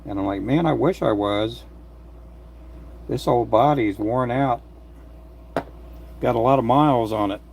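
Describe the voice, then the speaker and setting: A man's voice: medium pitch, measured pace, creaky, long pauses, darkish tone, slight background noise. A man in his late fifties from Texas with a country accent, chatting casually and folksily on his livestream, with many fillers. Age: 50s. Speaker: fishing jig maker and channel host.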